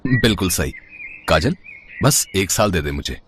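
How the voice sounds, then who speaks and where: A man's voice: sits low, animated, a little slow, fairly bright tone, a little hiss or hum. A man playing an earnest, devoted young college boyfriend in story dialogue. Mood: earnest, reassuring. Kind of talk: animated Hindi story dialogue.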